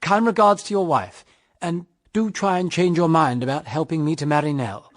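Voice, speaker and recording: An actor playing an urbane British gentleman suitor in a period radio drama, brisk, breezy and polite. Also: on the high side, lightly acted. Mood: brisk, cordial.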